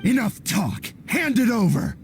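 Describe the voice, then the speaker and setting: Rough video game thug, confrontational drawn-out shouting, noisy background. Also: male voice, sits high, very animated, heavily acted. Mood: angry. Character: aggressive male bandit, rough and threatening. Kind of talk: video game cutscene acting. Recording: clear background noise.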